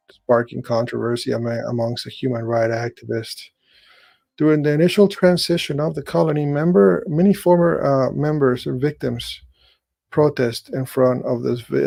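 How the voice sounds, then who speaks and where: A man's voice: mid-range voice, creaky, mellow, dry recording. A middle-aged man from Chile, hosting a show, who reads an article aloud and then comments casually with many fillers and restarts. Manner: hesitant, with frequent fillers and restarts. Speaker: host.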